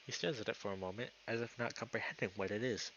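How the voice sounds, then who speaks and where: A man's voice: lowish voice, steady tone, quick pace, fairly bright tone, muffled recording, a little hiss or hum. A young man live streaming, moving between casual chat and reading story narration aloud.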